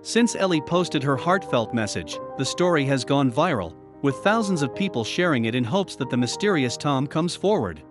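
A man's voice: mid-range voice, animated, moderate pace, few pauses. A man reading scripted story narration with a dramatic storytelling delivery. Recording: voice-over with music bed. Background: music.

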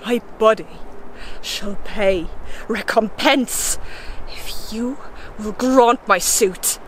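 Over clear background noise, a woman acts out a desperate young warrior woman in a Shakespeare monologue. The voice is fairly high and very unhurried, theatrical, moving between hushed and imploring delivery. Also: rough recording. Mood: desperate pleading. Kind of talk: solo Shakespeare monologue, dramatic recitation.

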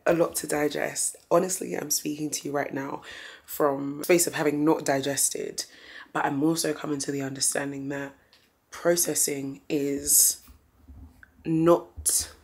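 A woman vlogger with a low, husky, raspy voice. She talks in an unhurried, thoughtful way as she closes out her weekly vlog. She speaks straight to her viewers. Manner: thoughtful, unhurried, faith-centered reflection. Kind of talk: reflective vlog sign-off.

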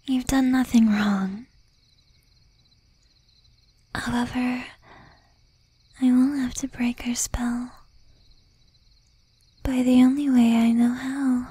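A woman acting as a jealous, doting young demon girlfriend in a soft, breathy ASMR whisper. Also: fairly high pitch, steady tone, no echo, exaggerated voice. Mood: tender, coaxing. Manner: slow, intimate, menacing yet doting. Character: jealous, possessive young female demon girlfriend, shy, tender.

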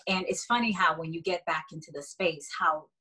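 A woman's voice: low-pitched, brisk, pushed and bright, slightly rough, low-quality recording. A young woman from Haiti, a stage actor, chatting as a guest over a video call from a bedroom, with frequent fillers and laughs. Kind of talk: casual video-call interview. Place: bedroom indoors.

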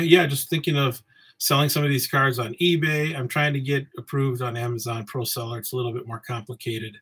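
Man, forties, from Samoa, husky voice, relaxed, rambling, podcast host, home office. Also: medium pitch. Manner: relaxed, rambling, many ums and uhs. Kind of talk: casual solo podcast talk. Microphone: webcam framing, seated at desk.

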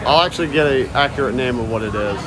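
A man talking loudly and casually to viewers as he live-streams while walking around. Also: medium pitch, fast talker, music in the background, poor audio. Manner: loud, chatty, talks to viewers and chat. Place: outdoors at a busy shopping area, later indoors. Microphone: phone held while walking. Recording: phone audio with crowd noise. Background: crowd chatter.

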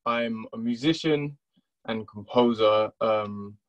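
A young man in his twenties introducing himself on a video call from his bedroom, relaxed and hesitant with many ums. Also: on the low side, takes it slowly. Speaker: panelist, musician and filmmaker. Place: bedroom at home. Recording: dull, lo-fi video call audio.

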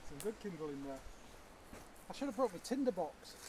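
A man's voice: high voice, sing-song, moderate pace, slight background noise. A middle-aged man bantering with dry mock-formal humour on an outdoor hike by a river. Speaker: hiking companion. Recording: loud guitar music behind.

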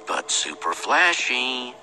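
A man's voice: medium pitch, big pitch swings, slow pace, pushed and bright, in a large hall, faint music behind, heavily acted, rough recording. An actor playing a pragmatic, wry young male adventurer and leader in a video game. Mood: wry, focused.

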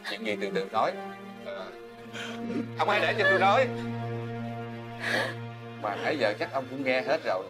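A man's voice: medium pitch, very animated, moderate pace, long pauses, loud music behind the voice, natural voice. A man playing a troubled, apologetic father in a fairy-tale television drama, earnest and hesitant, with a Southern Vietnamese accent.

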